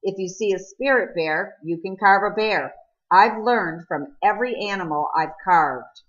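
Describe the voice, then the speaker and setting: An earnest teenage boy from a storybook, voiced by a woman narrator. He speaks patiently and tries to smooth things over. The recording is slightly lo-fi. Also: mid-range voice, measured pace, natural voice. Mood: restrained, conciliatory. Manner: calm, conciliatory. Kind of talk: audiobook character dialogue.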